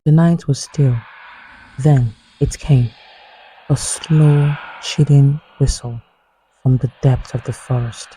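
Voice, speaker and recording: A man narrating a scripted horror folktale as a storyteller, in a grave, suspenseful manner with a husky voice. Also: medium pitch, fairly even intonation, very noisy. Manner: slow, grave, suspenseful delivery.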